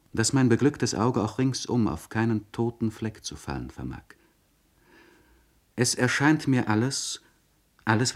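A man playing a melancholy, introspective aristocratic landowner in a German radio play, speaking in measured, solemn, formal literary phrases. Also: on the low side, a little mellow. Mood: rapt wonder.

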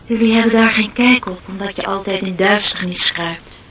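A woman's voice: mid-range voice, fairly even intonation, normal speed, a bit bright, a little hiss or hum, natural voice. A woman playing a courteous, proud glow-worm in mourning, formal and wistful, in a radio play. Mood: mournful, proud. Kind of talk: Dutch radio play acting. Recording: distant-sounding radio play recording.

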